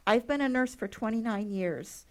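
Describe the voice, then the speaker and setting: A woman's voice: a little high, moderate pace, a little mellow. Middle-aged woman, school nurse, earnest, heartfelt pleading, public comment at podium mic.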